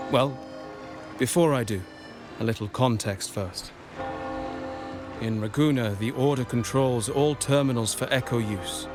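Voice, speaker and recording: A man voicing a courteous, formal nobleman from a merchant family in a game cutscene, speaking with smooth persuasion over game music. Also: medium pitch, close to an everyday voice.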